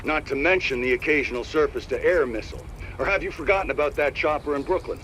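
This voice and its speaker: An actor playing a suspicious, demanding military colonel in a video game, clipped and confrontational, over a radio link. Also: male voice, a little high, fast, lightly acted. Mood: suspicious, indignant. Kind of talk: scripted video game drama. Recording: noisy radio link surveillance audio.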